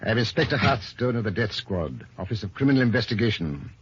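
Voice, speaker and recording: A man's voice: fairly low pitch, big pitch swings, fairly quick, a little hiss or hum. A man playing a formal, probing, authoritative police inspector in an old-time radio detective drama. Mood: stern. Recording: dull, muffled old radio recording.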